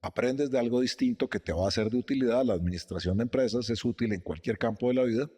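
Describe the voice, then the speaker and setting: A middle-aged man, a company president, telling his story in a measured, reflective way during an interview in his office. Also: medium pitch, darkish tone, breathy edge, long reverb tail. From Costa Rica, raised in Guatemala and Colombia.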